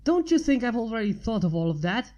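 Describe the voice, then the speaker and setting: A woman's voice: on the high side, very animated, a little fast, dark tone. A woman voicing a stressed, urgent woman writer with a secret in a story reading. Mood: exasperated. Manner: urgent, hissing and pleading.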